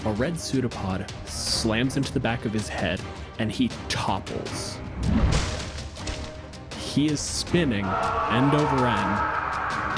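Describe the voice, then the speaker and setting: A man as game master, giving rulings and narrating action in short, dramatic statements. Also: lowish voice.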